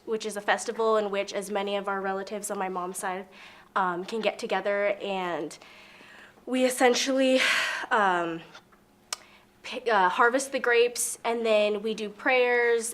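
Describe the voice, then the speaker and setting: A woman's voice: medium pitch, fairly bright tone. A young woman giving interview answers in an explanatory, slightly hesitant way.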